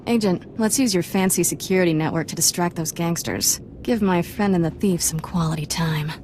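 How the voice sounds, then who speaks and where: A woman's voice: lowish voice, fairly quick, darkish tone, a little hiss or hum, lightly acted. A woman playing a sly, confident young Jedi in a video game, breezy and wry. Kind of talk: video game dialogue acting.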